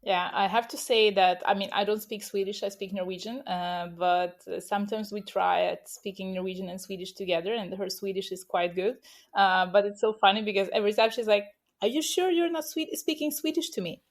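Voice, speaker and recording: A woman's voice: mid-range voice, steady tone, brisk. A woman hosting a podcast interview in a casual way, full of hesitant filler sounds. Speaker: podcast host.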